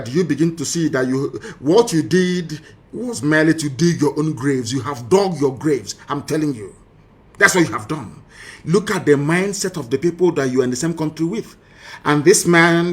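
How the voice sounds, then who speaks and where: A man with a Nigerian accent delivering an impassioned political monologue, emphatic and scornful, piling up rhetorical questions and repeating phrases. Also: on the high side. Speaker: political broadcaster. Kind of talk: impassioned political monologue commenting on archive audio.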